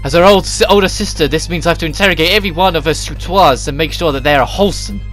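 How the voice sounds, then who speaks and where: Silly, energetic young game girl, read by a man: raised pitch, excitable, playful, nosy. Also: fast talker, faint music behind, lightly acted. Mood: excited. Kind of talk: amateur reading of game dialogue.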